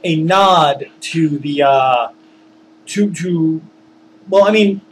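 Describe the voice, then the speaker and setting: A man telling a story casually, rambling and halting as he searches for words and digresses. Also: on the high side, slight background noise, low-quality recording. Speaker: storyteller, former tabletop game master.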